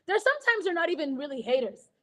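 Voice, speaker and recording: High-voiced woman with an American accent. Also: quick pace. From Kenya. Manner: animated, laughing, acts out quoted voices. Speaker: guest artist. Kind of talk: casual interview storytelling.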